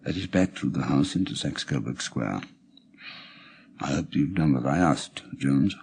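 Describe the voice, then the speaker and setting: Calm British sleuth, played by a male audiobook reader: low, quiet, mellow, commanding and deliberate. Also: gravelly, husky, full character voice. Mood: hushed.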